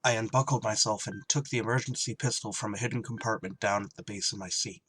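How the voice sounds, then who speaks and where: A man's voice: fairly low pitch, fairly even intonation, fast talker, fairly bright tone, close to an everyday voice. Man playing a space pilot, noisy recording. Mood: grave narration. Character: wry, duty-bound male emergency shuttle pilot. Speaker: actor and first-person narrator in an audio drama. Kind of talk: retro radio drama, scripted narration and dialogue. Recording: clear background noise.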